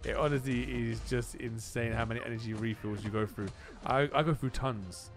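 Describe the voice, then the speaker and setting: Excitable male gamer over game music. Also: mid-range voice, normal speed. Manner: excitable, repeats phrases for emphasis. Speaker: gaming video host. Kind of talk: casual gameplay commentary.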